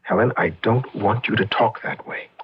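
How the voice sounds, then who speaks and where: A man's voice: on the low side, moderate pace, slight background noise, lightly acted. An actor in an old radio drama playing an ambitious, impatient, slick husband turned rich businessman. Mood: soothing, troubled. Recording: dull lo-fi radio drama recording.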